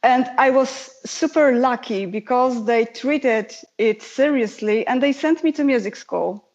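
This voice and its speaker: A woman's voice: fairly high pitch, measured pace, a little mellow, highs cut off. A woman with a Polish accent, a guest musician telling her story in a casual, chatty interview. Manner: chatty storyteller, laughs easily.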